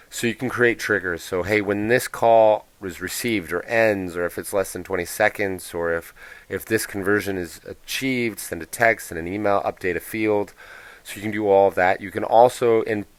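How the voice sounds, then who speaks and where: A man presenting a casual software walkthrough in a plain-spoken, explanatory, off-the-cuff way. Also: lowish voice, moderate pace, dry recording.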